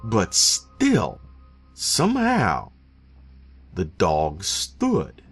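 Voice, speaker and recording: A man reading a first-person horror story in a deliberate, theatrical way with dramatic pauses. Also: fairly low pitch, huge pitch swings. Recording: narration over background music. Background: eerie background music.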